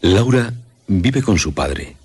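A man with a Castilian Spanish accent narrating a scripted story for radio, measured and with dramatic pauses. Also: on the low side, lively intonation, darkish tone, husky. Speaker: presenter.

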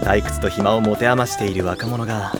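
A man playing a courteous, unflappable high school boy who philosophizes formally in an anime audio drama. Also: steady tone, normal speed, music in the background, natural voice. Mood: amused, genial. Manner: courteous, smooth-spoken, formal.

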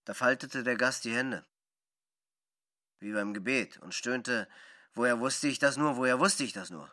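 A man giving expressive, scripted literary narration in a radio drama. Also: medium pitch, measured pace, no echo, studio quality.

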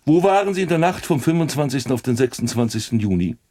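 Sarcastic, animated police inspector played by a man. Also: mid-range voice, fast talker, lightly acted. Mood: pressing, sarcastic. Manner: pressing, sarcastic. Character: impatient, sceptical male police inspector. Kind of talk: German radio drama acting.

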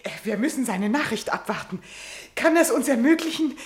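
A woman's voice: fairly high pitch, animated, natural voice. An actress in a German radio drama playing a passionate, affectionate and wry leading lady of a theatre troupe, speaking theatrically. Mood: triumphant. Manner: theatrical, deliberate, emotive.

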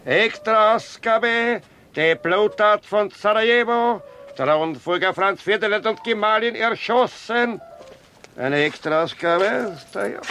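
An actor playing an eager street newspaper seller with an Austrian accent in a radio play. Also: male voice, sits high, measured pace, sharp tone, a little hiss or hum, exaggerated voice. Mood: hawking, excited. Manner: hawking, singsong calls.

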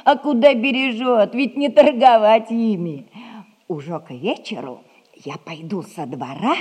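An actress playing a fussing, kindly but crafty household nanny, folksy and gossipy, in a theatrical 1960s audio play. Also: female voice, on the high side, measured pace, a little mellow, husky. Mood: gossipy, confiding.

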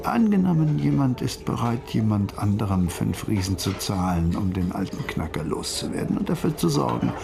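A man's voice: lowish voice, very animated, normal speed, vocal fry, few pauses, noisy background. An actor playing a cagey, streetwise police informant in a radio crime drama, drawing out his story in a teasing way. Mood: cagey, haggling. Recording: music playing behind the voice.

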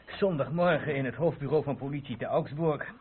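A man playing a brisk, self-assured police inspector in a radio play. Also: medium pitch, slightly rough, natural voice. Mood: genial, explanatory. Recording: tinny, narrow-band old radio recording.

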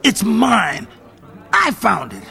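A brash, hot-headed male jazz star, a native English speaker in a radio play. His voice sits high and bright, swinging widely as he talks in loud slang. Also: wildly swooping pitch, slow, vocal fry, heavily acted. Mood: indignant. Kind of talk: radio drama acting.

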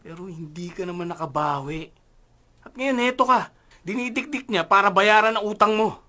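An actor playing a worried, nagging young Filipino friend in a radio drama, slangy and reproachful. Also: male voice, high voice, normal speed, bright, forceful tone.

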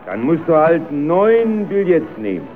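An actor playing an instructive, easily exasperated carousel ticket seller in an old radio play. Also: male voice, a little high, very animated, a little slow, faint music behind. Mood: patient, businesslike. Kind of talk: old radio play, comic dialogue acting. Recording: tinny, narrow-band old radio recording.

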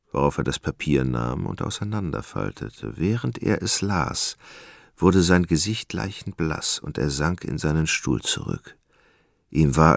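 A male narrator with an extremely low voice and a gravelly creak. He delivers literary radio drama gravely and with measure, in a very dry recording. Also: no room sound.

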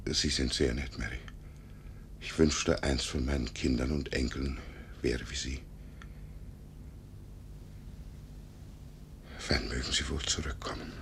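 Casting: lonely invalid gentleman in his seventies, querulous, very low gravelly voice, vintage radio drama. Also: steady tone, moderate pace, full character voice. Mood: self-pitying. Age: older. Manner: querulous, plaintive. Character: lonely, self-pitying paralysed 72-year-old gentleman. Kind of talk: 1950s German radio drama acting. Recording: noisy, rumbling 1950s radio recording.

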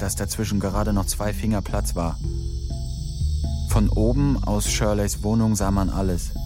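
A man's voice: lowish voice, natural voice. An actor playing a spiteful prankster who gloats over his petty revenge in a radio drama. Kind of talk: literary radio drama monologue. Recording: music bed behind voice.

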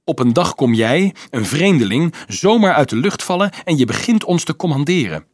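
A man's voice: fairly high pitch, very animated, normal speed, dry recording, clean studio sound, voice pushed up. A man voicing a haughty, angry monk in a storybook, speaking in an accusing, commanding way. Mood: hostile, dismissive. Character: angry, haughty monk defending his authority.

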